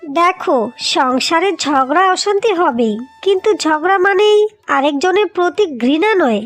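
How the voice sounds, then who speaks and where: A woman's voice: extremely high pitch, expressive, normal speed, soft background music. A woman voicing a feisty, proud young wife in a cartoon, with a firm, scolding way of talking. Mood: earnest. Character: feisty, proud young wife defending her family home. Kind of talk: cartoon dialogue.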